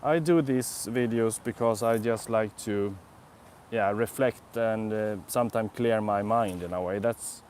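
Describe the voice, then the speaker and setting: A man's voice: fairly low pitch, measured pace, darkish tone, slight background noise. A young Swedish man with a Swedish accent, chatting casually on a live stream in a city park, musing and answering viewers' comments. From Sweden. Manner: rambling, reflective, answers chat comments. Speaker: vlogger.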